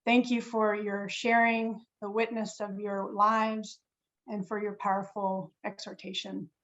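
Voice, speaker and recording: A woman's voice: medium pitch, level tone, unhurried, a bit bright, dull audio. A woman moderating a panel discussion, earnest and reflective, often restarting her phrases. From Korea. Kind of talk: webinar moderation. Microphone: video call.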